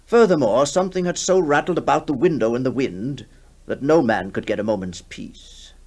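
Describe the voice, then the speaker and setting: A man's voice: mid-range voice, normal speed, darkish tone, natural voice. A man playing an imperious, hearty, impatient country squire in a radio drama, commanding and dismissive. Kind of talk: 1960s radio drama acting.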